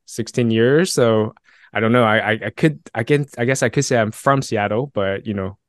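A man's voice: on the low side, moderate pace, no room sound, clean studio sound. A man from Taiwan and Southern California talking casually as a podcast guest, modest and self-effacing. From Taiwan, then Southern California. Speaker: technical author, podcast guest. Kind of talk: casual chat.

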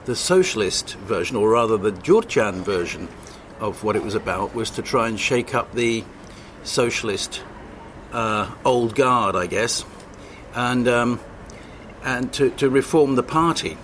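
An older man, a longtime journalist, answering interview questions hesitantly, with many pauses and restarts and a wry edge. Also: medium pitch, lively intonation, fairly bright tone, slight background noise. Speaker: journalist guest.

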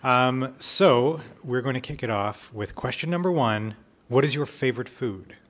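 A man's voice: medium pitch, moderate pace, a bit bright. A man hosting an interview podcast in a casual, informal, agreeable way.

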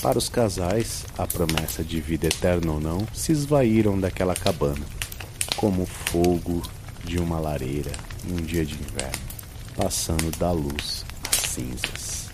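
A man's voice: low voice, normal speed, noisy background. A man narrating a scripted horror story in a dramatic storytelling style.

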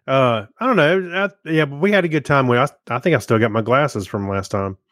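A man's voice: medium pitch, a little fast, a bit bright, no echo. Easygoing man, dry close sound. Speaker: podcast co-host. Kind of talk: casual chat.

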